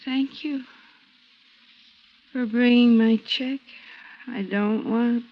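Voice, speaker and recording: A frail, doped captive wife in a 1950s radio drama. Her highish voice drags slowly, groggy and pleading, on a dull, muffled old recording. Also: steady tone. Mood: dazed, weak. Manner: faint, halting, pleading. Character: drugged, frail, frightened captive wife, a woman.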